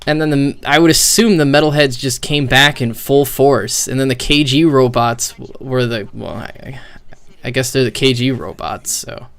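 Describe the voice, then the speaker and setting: A man's voice: medium pitch, moderate pace, vocal fry, a bit bright. A man giving casual, slangy streamer commentary on video game cutscenes, with swearing and incredulous questions.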